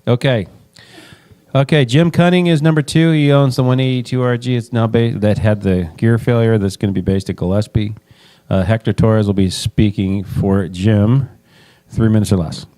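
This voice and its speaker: A man's voice: lowish voice, steady tone, normal speed, dark tone. A man hosting a meeting and introducing speakers with informal, offhand, joking announcements. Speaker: meeting host introducing candidates.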